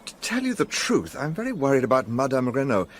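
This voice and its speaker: An actor playing an earnest, candid gentleman sidekick who narrates and converses in a radio drama. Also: mid-range voice, huge pitch swings, brisk, slight background noise. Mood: curious, earnest.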